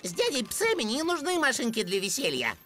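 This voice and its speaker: A squeaky fussy green sand fairy. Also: female voice, huge pitch swings, fairly quick, full character voice. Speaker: voice actor in animated series dub. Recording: studio voice with loud music behind.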